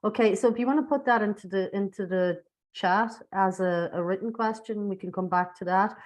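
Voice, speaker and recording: A woman's voice: mid-range voice, fairly quick. A woman informally moderating an online talk, practical and thinking aloud as she goes. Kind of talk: informal event hosting. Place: online meeting. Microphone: video call.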